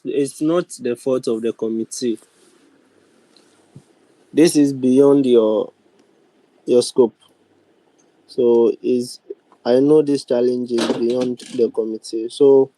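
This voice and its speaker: A young man with a Ghanaian accent chairs a formal online meeting, speaking deliberately with frequent pauses. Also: medium pitch, dark tone, no room sound, low-quality recording. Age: young adult. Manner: deliberate, halting, with many pauses. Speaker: speaker of a youth parliament. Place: online video call.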